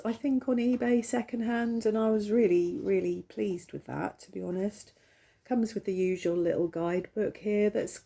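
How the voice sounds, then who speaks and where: Slow, hoarse woman. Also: medium pitch, warm tone. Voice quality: croaky. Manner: hesitant, rambling, with many pauses. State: hoarse, recovering from illness. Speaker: tarot channel host. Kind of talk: casual vlog chat. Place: at home, seated.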